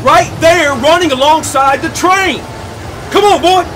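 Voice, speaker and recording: A man playing a crazed, boastful cowboy film star in old-time radio drama, hollering exuberantly in folksy cowboy talk. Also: measured pace. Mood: manic, exultant. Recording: noisy, with vehicle rumble behind.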